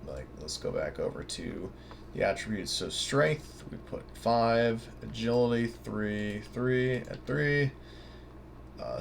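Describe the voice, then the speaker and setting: Guy with a creaky lowish voice explaining tabletop game stuff, rambly and offhand, bit of noise behind him. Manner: casual, rambling, thinking aloud, mildly sweary. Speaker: tabletop game guide presenter. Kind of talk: informal off-the-cuff tutorial walkthrough. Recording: noticeable background noise.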